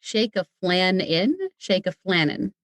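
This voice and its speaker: Low-voiced woman chatting casually, dry sound. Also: a little slow, no room sound. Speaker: podcast guest, audio drama creator. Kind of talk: casual chat.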